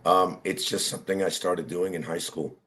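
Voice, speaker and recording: A man in his sixties from New Jersey, a business owner talking casually in an interview while fighting a head cold and often clearing his throat. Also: deep voice, steady tone, normal speed, a bit bright. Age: 60s.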